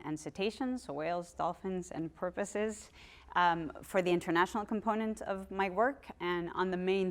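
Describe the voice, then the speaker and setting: A woman from Costa Rica giving a public lecture, talking in a conversational way with frequent ums and restarts. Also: on the low side. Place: lecture room.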